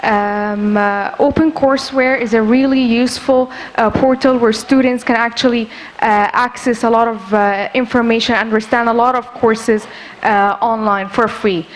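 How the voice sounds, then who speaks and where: A woman's voice: a little high, steady tone, moderate pace, a little hiss or hum. A young woman, a first-year student, asking a panel a question with many hesitations. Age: young adult.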